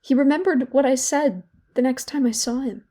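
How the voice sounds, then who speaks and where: Soft-toned, wounded young woman confiding , as acted. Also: high-pitched. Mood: wistful, fond. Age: young adult. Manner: hesitant, halting, with repeated words and pauses. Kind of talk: intimate confessional monologue in an audio play.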